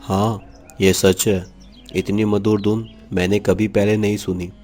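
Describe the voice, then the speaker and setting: A man voices a brave young cartoon prince, curious and courtly. The voice is low and soft-edged, with music running faintly underneath. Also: little pitch movement, unhurried, natural voice. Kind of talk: Hindi cartoon story acting.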